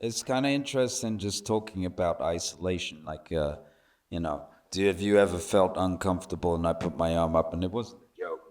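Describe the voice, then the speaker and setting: A British man speaking as a panelist, telling his personal story to an audience in a halting, reflective way. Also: low voice, darkish tone. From Britain.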